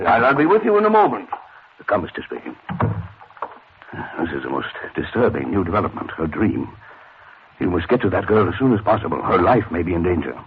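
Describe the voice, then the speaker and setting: A noisy, muffled vintage radio recording carries a self-important male psychoanalyst. He rambles in a lowish voice with very animated pitch, fussing, hesitating and repeating himself in a pedantic way. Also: fairly quick. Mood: flustered, urgent. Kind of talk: 1940s radio drama acting.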